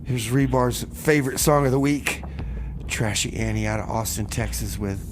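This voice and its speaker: Male radio host, average pitch, conversational and loosely rambling comic patter, slight noise in a studio. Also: normal speed. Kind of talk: casual radio music show chat with comedy bits.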